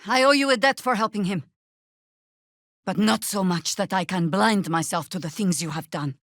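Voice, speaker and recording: An actress playing a seasoned, wry middle-aged female adventurer in a video game, speaking in a measured, dramatic way. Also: mid-range voice, a bit bright, no room sound, clean studio sound, close to an everyday voice. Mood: stern, earnest. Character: seasoned, wry female adventurer, battle-worn mother and druid. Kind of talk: video game character dialogue and spell calls.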